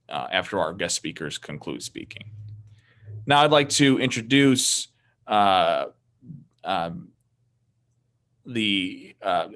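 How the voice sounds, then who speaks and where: Male moderator, lowish pitch, very slow, formal but halting delivery. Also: fairly bright tone. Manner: halting, hesitant.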